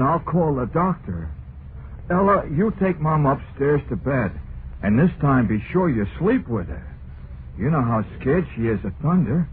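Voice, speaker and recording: An actor playing an anxious, doting grown son, earnest and solicitous, in an old-time radio drama. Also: male voice, a little high. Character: anxious, doting grown son fussing over his mother. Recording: noisy narrow-band vintage radio recording.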